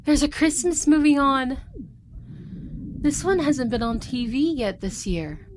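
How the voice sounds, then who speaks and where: A woman's voice: sits high, unhurried, background noise. A woman playing a cheerful, chatty elf who loves Christmas and passes as a human roommate, in an ASMR roleplay. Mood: cozy, cheerful. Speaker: roleplay voice actress.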